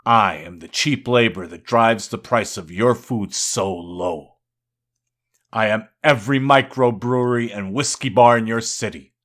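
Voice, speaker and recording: A man's voice: lowish voice, measured pace, a bit bright. A man voicing a boastful, defiant god of wine and excess in a grandiose, insistent poetry recital. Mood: proudly boasting.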